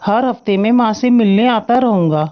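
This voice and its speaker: A woman's voice: fairly high pitch, moderate pace, muffled recording, lightly acted. Woman voicing an earnest young husband crow. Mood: resolute. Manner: earnest, protective, insistent. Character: caring, stubborn young husband crow, male. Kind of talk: Hindi moral-story cartoon acting.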